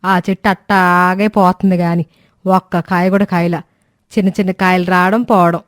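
A woman's voice: fairly low pitch, flat delivery, moderate pace, no echo, clean studio sound, natural voice. A woman voicing an irritable, bossy middle-aged village mother-in-law in a cartoon story, scolding and sarcastic. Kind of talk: cartoon moral-story voice acting.

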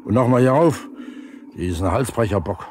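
A stubborn, tired older brigade leader acted by a man in a 1970s East German radio play. The voice sits on the low side and talks gruffly and plainly. There is faint noise from the old record. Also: animated, normal speed, close to an everyday voice. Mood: exhausted, stubborn.

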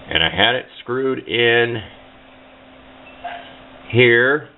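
A man giving a casual, unscripted how-to at a workbench, talking in a plain, rambling, folksy way. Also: fairly low pitch. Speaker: hobbyist demonstrating a homemade build. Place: indoors at a workbench. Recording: muffled, narrow phone-like camera audio.

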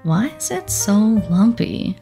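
A woman plays a vain demon popstar in a cartoon comic dub. She drawls slowly and sardonically, with a sultry creak in her voice. Music plays behind her. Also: mid-range voice, very animated, warm tone, full character voice. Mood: groggy, musing.

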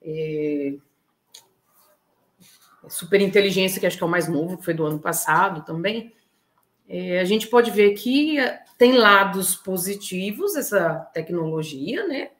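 A woman's voice: on the low side, expressive, a little slow. A middle-aged Brazilian woman giving an informal online lecture, explaining step by step with frequent check-in tags. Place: indoor room, online talk. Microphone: video call.

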